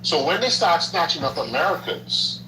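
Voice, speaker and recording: A man's voice: on the high side, sing-song, normal speed, crowd noise. A man co-hosting a livestream in casual political chat, speaking emphatically, repeating his points and dropping in slangy asides. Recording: roomy echo.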